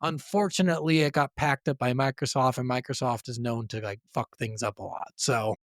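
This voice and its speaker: A man's voice: medium pitch, steady tone, brisk, dry recording, studio quality. A man co-hosting a gaming podcast, chatting casually and bluntly with jokes and profanity. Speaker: podcast co-host.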